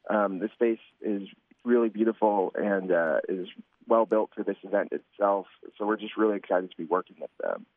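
Low-voiced man on a tinny phone line. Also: normal speed, no room sound. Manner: eager, many fillers. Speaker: event organizer guest. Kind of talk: radio interview.

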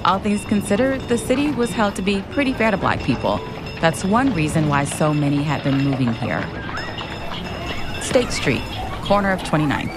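A woman's voice: fairly low pitch, very animated, normal speed. A woman reading scripted docudrama narration as the guide. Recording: music bed behind.

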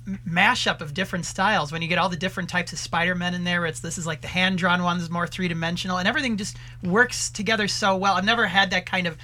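A man speaking as a guest film critic in an animated studio discussion, with an effusive, enthusiastic manner. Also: sits high, fast talker, few pauses, slight background noise. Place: radio studio.

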